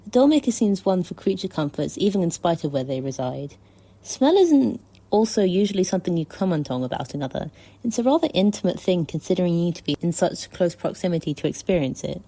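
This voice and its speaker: A woman reading prepared fan commentary in a measured, articulate and thoughtful way. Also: on the low side, warm tone, poor audio. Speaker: listener contributor sending a voice message.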